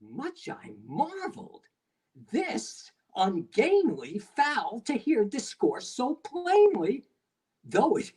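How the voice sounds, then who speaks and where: A woman giving a theatrical dramatic recitation of poetry, with halting, drawn-out phrasing and heavy pauses. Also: a little high, wildly swooping pitch, mellow, slightly rough. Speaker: performer at a live dramatic reading.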